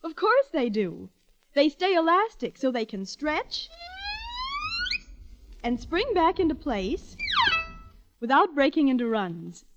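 A woman's voice: high-pitched, sing-song, slow pace, long pauses, soft background music, full character voice. An actress playing a chipper, scolding soap spokeswoman in a 1940s radio commercial. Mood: bright scolding. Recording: muffled vintage 1940s radio recording.

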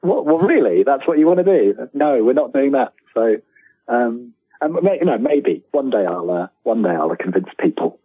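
A man's voice: medium pitch, expressive, moderate pace, breathy edge. British man on a thin, echoey phone line. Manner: chatty, self-deprecating, stammering repeats of words. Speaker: interview guest, writer. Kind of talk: casual anecdotal interview.

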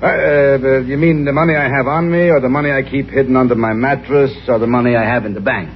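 An actor playing a pompous, penniless music maestro in a 1950s radio sitcom, stammering when caught out. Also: male voice, medium pitch, steady tone, quick pace, a little hiss or hum, close to an everyday voice. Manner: pompous, then sheepish, with comic stammers. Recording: dull old radio broadcast with studio audience.

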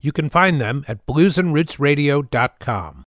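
Male radio host, dry studio sound, relaxed and informative. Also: on the high side, measured pace, no echo. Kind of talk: radio show links.

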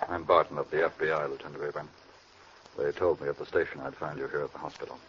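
An actor playing a sober, earnest FBI agent in a 1940s radio crime drama. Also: male voice, rumbling low, little pitch movement, fast talker. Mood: brisk, official. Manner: earnest, grave. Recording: noisy muffled old radio recording.

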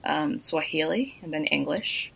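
Low gravelly playful Kenyan-raised woman. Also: unhurried, a little hiss or hum, poor audio. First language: Luo. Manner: playful, teasing, drops to soft asides. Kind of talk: casual chat.

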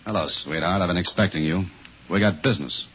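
An American actor playing a tough, cool husband turned detective in a 1950s radio thriller, with clipped, deadpan, accusing delivery. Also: male voice, deep voice, quick pace, background noise, close to an everyday voice. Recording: muffled old radio recording with music.

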